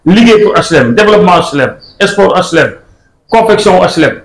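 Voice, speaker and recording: An older man with a Senegalese accent making a fast, emphatic promotional appeal to camera in a small office. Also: sits high. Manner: emphatic, rising into quick excited bursts. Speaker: club supporter speaking to camera. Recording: echoey room with faint background noise.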